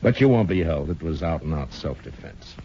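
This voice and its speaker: Stern frontier marshal, low voice, American accent, terse and dry, dull old radio western sound. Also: male voice, animated, a little fast, dark tone, slight background noise. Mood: dry, weary. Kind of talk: 1950s radio western acting.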